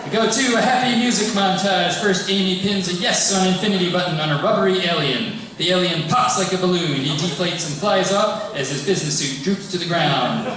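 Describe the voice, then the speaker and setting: Man, scripted stage-direction narration, high pitch, very echoey, crowd behind. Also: a little fast, few pauses. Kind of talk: scripted narration at live table read. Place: large indoor hall before an audience. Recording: echoey hall, distant, audience noise.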